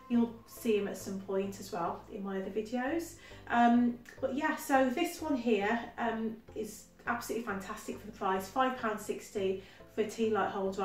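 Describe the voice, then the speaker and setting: A woman's voice: medium pitch, far from the mic. A young woman with a Brummie accent chatting in a casual home haul video, enthusiastic and full of little laughs and ums, filmed in her living room. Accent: Birmingham (Brummie). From West Midlands, England. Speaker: vlogger, makeup artist. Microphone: camera mic at a distance. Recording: roomy echo from off-mic.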